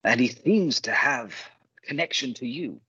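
A man playing an earnest, righteous, protective gentleman in a radio play, speaking formally and theatrically. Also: mid-range voice, animated, a little slow, slightly rough, dry recording, muffled recording, heavily acted. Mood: storytelling.

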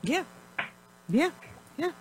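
A woman hosting a talk radio show, chatting in a rambling way with frequent laughs while her voice is going hoarse. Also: sits high, very animated, extremely slow, a little mellow, a little hiss or hum, poor audio. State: losing her voice. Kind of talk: casual talk radio chat.

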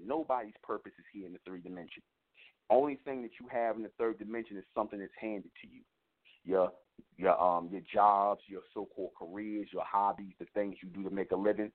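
A man hosting an internet radio show, delivering a repetitive, emphatic spiritual teaching monologue. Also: lowish voice. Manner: preaching, repetitive emphatic phrasing. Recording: tinny phone line.

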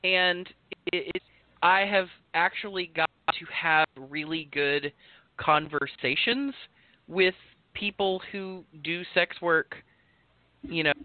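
A man in his thirties chats casually as a podcast co-host. He rambles frankly with plenty of fillers, and his voice sits a little high. The sound is thin and phone-like, and it is dry and close. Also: clear, resonant tone, no room sound. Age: 30s. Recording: tinny phone-like call audio, dry.

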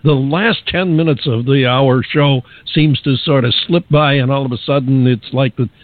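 A man hosting a radio show, interviewing a guest in a genial, halting way with many restarts. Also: medium pitch, no room sound, phone-quality sound.

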